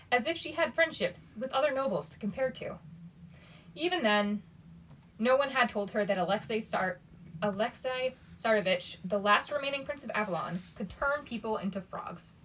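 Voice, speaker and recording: A woman reading a young adult fantasy novel aloud as a storyteller, with expressive delivery and emphatic asides. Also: a little high, a little hiss or hum, rough recording. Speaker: bookshop story reader.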